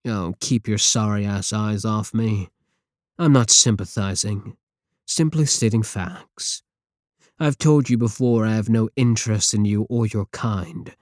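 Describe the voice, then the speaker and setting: A man's voice: lowish voice, a little slow, dry recording, studio quality, lightly acted. A man playing a possessive, seductive supervillain in an ASMR audio roleplay, with theatrical, condescending endearments and an idealistic contempt for the world. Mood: coaxing, possessive.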